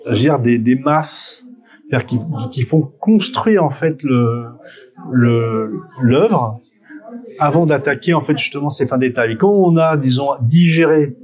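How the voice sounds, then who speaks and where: Man, middle-aged, medium pitch, spontaneous interview talk, halting, repeats words. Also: a little mellow. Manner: hesitant, self-correcting, with frequent word repetitions. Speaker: photographer being interviewed. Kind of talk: spontaneous interview answers, reminiscing.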